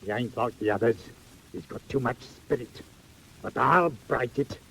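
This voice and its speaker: An actor playing a pompous, bad-tempered, cruel workhouse master in a 1940s audio drama, with a rough Cockney-flavoured English accent. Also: male voice, mid-range voice, moderate pace, fairly bright tone, low-quality recording. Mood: angry, threatening. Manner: pompous, blustering, exclaiming.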